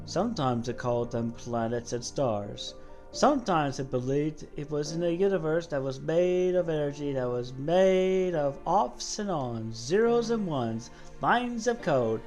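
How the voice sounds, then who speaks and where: A woman voicing a solemn godlike storyteller, giving a measured, dramatic reading of game dialogue. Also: sits low, lively intonation, darkish tone, faint music behind. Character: low-voiced godlike cosmic being, solemn storyteller.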